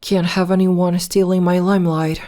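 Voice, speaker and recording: A woman playing a villainess in an ASMR roleplay, soothing and teasing as she tends to her nemesis with possessive tenderness and drawn-out endearments. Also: lowish voice, level tone, mellow, lightly acted. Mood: teasing, reassuring. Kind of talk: ASMR roleplay, soft intimate acting.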